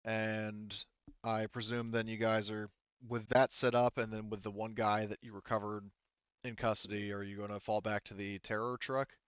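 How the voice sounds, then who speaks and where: A man's voice: fairly low pitch, little pitch movement, normal speed, fairly bright tone, smooth, dry recording, lo-fi sound. A man casually running a tabletop roleplaying game as game master, thinking aloud in an informal way.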